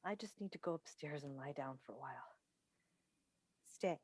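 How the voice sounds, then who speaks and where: A woman playing a weary, strained mother and wife in a staged play reading, halting and guarded, heard over a video call. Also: low voice, a little mellow, slightly rough, no room sound. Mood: tired.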